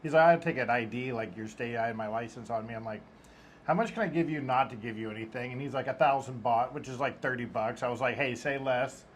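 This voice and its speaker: A man's voice: medium pitch, fast, a little hiss or hum. A man co-hosting a casual travel vlog, joking and acting out quoted exchanges as he tells a story. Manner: joking, acts out quoted dialogue. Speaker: vlog co-host.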